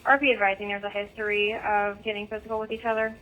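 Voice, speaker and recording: A woman's voice: mid-range voice, little pitch movement, fast talker, a bit bright. A woman working as a police dispatcher, reading out calls in a clipped, matter-of-fact way over police radio. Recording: noisy police scanner radio feed.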